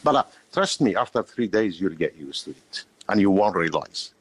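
Slow, husky deep-voiced man. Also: expressive, no room sound. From Palestine. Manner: slow, hesitant, many fillers, laughs often. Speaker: guest, shop owner. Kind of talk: podcast interview.

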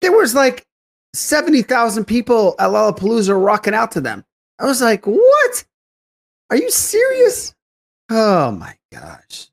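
Lively-pitched man hosting a podcast. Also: measured pace, creaky. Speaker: podcast host. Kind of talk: casual podcast chat.

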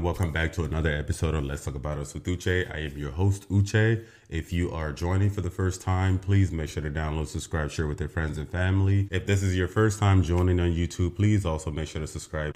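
A man's voice: deep voice, a little mellow. A young man from Nigeria hosting his podcast, talking earnestly and emphatically with frequent restarts. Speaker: podcast host. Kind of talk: casual podcast monologue.

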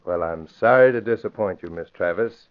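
An actor playing a suspicious, menacing crime boss in 1940s radio drama style, clipped and commanding. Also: male voice, fairly low pitch, normal speed, lightly acted. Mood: scornful. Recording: muffled, hissy old radio recording.

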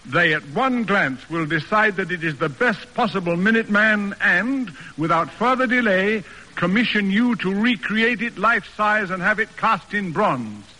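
A stern, demanding American father of middle age for an old radio play. His voice is bright, forceful and a little high, with pompous, declamatory emphasis. It sounds like a vintage radio broadcast. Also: expressive, normal speed, big-room sound, low-quality recording. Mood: commanding, emphatic. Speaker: actor narrating and playing the father. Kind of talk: classic American radio drama acting.

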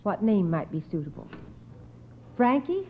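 Female 1950s children's quiz host, encouraging, expressive delivery, narrow-band old broadcast sound. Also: medium pitch, measured pace, slight background noise. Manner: encouraging, acknowledges each answer. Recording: narrow, tinny old radio recording.

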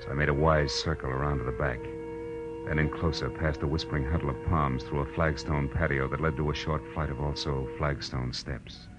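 An American actor playing a cocky, hard-boiled private detective in 1940s radio noir, with a wry, clipped and sardonic delivery. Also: male voice, very deep voice, fairly even intonation, brisk. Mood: brooding, wry narration. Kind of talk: 1940s radio noir acting, first-person narration and dialogue. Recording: dull vintage radio recording with music.

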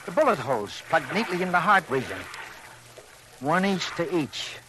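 A man's voice: on the high side, sing-song, moderate pace, sharp tone. An actor playing a talkative, deferential male police sidekick in mid-century radio crime drama, with colloquial, streetwise phrasing. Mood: subdued. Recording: noisy old radio drama recording.

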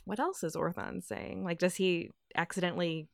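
A woman's voice: on the low side, fairly even intonation, gravelly, no room sound, studio quality. A woman co-hosting a podcast, reacting to a story with sarcastic quips and questions. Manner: sarcastic, quick quips, laughs often. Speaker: podcast co-host. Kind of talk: casual chat, reacting.

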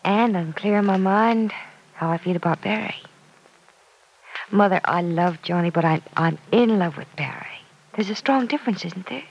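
An actress playing an earnest, self-doubting young woman in an old-time radio serial, confiding in a hesitant, soft-hearted way. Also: fairly low pitch, moderate pace, creaky, dull audio. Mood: earnest, tender. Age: young adult.

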